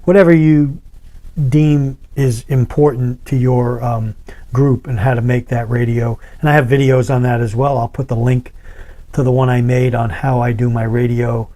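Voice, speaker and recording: A man with a dark, relaxed voice presents a how-to product demo. His pitch sits in the middle of the male range, and he explains each step in plain, everyday language. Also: normal speed. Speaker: product reviewer and video host. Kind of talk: casual how-to product demonstration.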